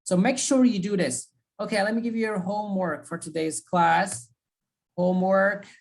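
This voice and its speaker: A woman's voice: medium pitch, normal speed. A woman teaching an online speaking class, giving feedback and advice. She repeats her key points and keeps checking in with "okay?".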